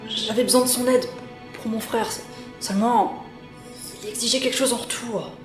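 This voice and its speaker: A woman's voice: a little high, steady tone, normal speed, lightly acted. A woman playing an anxious, guilt-ridden reluctant spy and sister in an audio drama, hesitant and stammering, with urgency. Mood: guilty, urgent. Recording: echoey room, loud music underneath.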